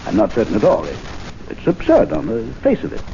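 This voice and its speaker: A pompous gentleman at his club, halting and stiff, with a warm, dark tone. His pitch moves widely. The sound is a dull old radio broadcast with faint hiss. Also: huge pitch swings, gravelly, heavily acted. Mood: haughty. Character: pompous older gentleman club member, haughty. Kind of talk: 1970s radio drama acting.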